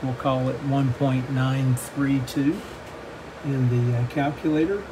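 A man gives a hands-on technical walkthrough at an unhurried pace. His delivery is flat and matter-of-fact, moving step by step at a medium pitch. A steady air-conditioning-like noise runs clearly behind his voice. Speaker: host of a radio repair channel. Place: indoor workbench.

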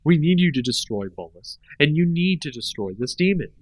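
A man reading aloud and voicing a wounded, earnest male warrior, lowering his voice for him. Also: big pitch swings, normal speed, no room sound. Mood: strained. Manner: strained, earnest.

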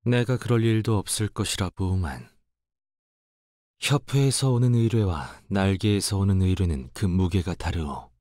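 Game casting: male actor as dutiful young fixer, lowish voice, archaic formal diction, reserved and melancholy, very dry close sound. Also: moderate pace, a little mellow, no room sound, close to an everyday voice. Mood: grave, earnest. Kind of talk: scripted game character voice lines.

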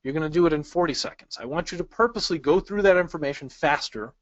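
A man's voice: fairly high pitch, quick pace, no echo. A man in his thirties, born in Chicago and raised speaking Polish, teaching speed reading in a webinar over a webcam and asking the audience questions in a friendly way. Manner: friendly, asks the audience questions, light jokes. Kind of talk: webinar lesson with slides. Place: small indoor room. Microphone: webcam inset. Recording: dry, slightly dull sound.

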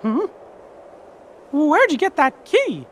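Cartoon mouse king, falsetto squeak, very lively, unhurried, soft music. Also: slight background noise, heavily acted. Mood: earnest. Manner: earnest, upbeat. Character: cheerful high-voiced cartoon mouse king, adult male. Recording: game audio with music behind.

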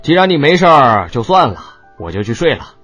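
Male actor as smug young man, swooping intonation, husky low-leaning voice, needling drawl, audiobook with faint music. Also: very animated, brisk, a bit bright, lo-fi sound. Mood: impatient mockery. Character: cocky, mocking young man, the heroine's brash older brother. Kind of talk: audiobook character dialogue.

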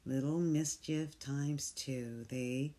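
Slow, gentle storybook reader with dark tone. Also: mid-range voice, steady tone. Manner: gentle, soft, drops to whispers. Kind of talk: slow picture-book read-aloud.

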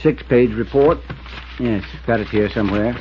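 Actor as folksy rural American constable, muffled recording. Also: male voice, mid-range voice, moderate pace, close to an everyday voice. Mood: matter-of-fact. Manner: laconic, matter-of-fact, homespun. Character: folksy, plain-spoken rural constable, a man. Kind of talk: 1950s radio drama acting. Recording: dull, noisy old radio recording.

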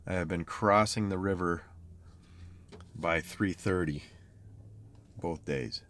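Low-voiced grumbling middle-aged man. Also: slow, a bit bright, slight background noise. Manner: chatty, grumbling, self-described chronic complainer. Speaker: fitness vlogger. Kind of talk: casual vlog talk. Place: parked car, then outside a truck, then home office.

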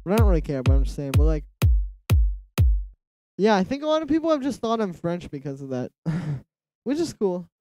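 A man's voice: high-pitched, normal speed, long pauses, dry recording, studio quality. A man with a nasal voice chatting casually on a livestream while producing a track. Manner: relaxed, musing aloud, reads chat replies. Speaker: music producer streamer. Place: home studio, indoors. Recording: livestream with loud music under voice. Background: electronic music playing.

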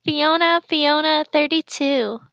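A woman's voice: high-pitched, slow pace, dry recording, lo-fi sound. A woman reading fan fiction aloud and commenting on it casually. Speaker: reader and commentator.

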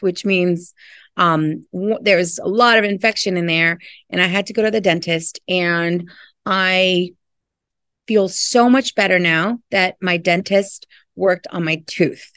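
A woman's voice: mid-range voice, a bit bright, dry recording, studio quality. A middle-aged woman, a teacher reading a picture book aloud with chatty, friendly asides to young listeners. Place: indoors in a classroom.

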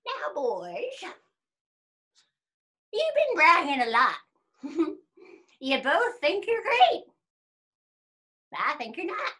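Woman doing an old worm, high and cocky. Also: wildly swooping pitch, drawn-out words, long pauses, bright, forceful tone, heavily acted. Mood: cocky scolding. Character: small, cocky old male worm, scolding braggarts. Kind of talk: animated storybook reading.

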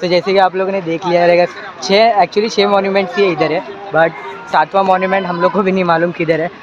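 Chatty young man with a high voice. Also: flat delivery, brisk, dull audio, over music, crowd noise. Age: young adult. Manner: chatty, mixes English words into Hindi, laughs easily. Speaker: vlogger. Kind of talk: casual vlog narration. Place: city garden outdoors at dusk, then a busy restaurant. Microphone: phone held at arm's length.

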